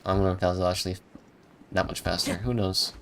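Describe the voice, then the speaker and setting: A man's voice: low-pitched, flat delivery, quick pace, vocal fry, soft background music. A man doing casual live gameplay commentary, muttering his reactions to himself as he plays. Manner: muttering reactions to himself, humming and singing snatches. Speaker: game streamer.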